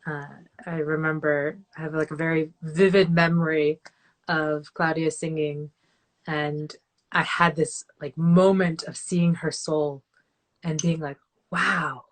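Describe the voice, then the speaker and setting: A woman hosting a podcast with a slightly low voice. Her talk is effusive and heartfelt, often dropping into soft asides, over a video call. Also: level tone, slow pace. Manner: warm, effusive, trailing into softer asides. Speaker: podcast host. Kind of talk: casual interview.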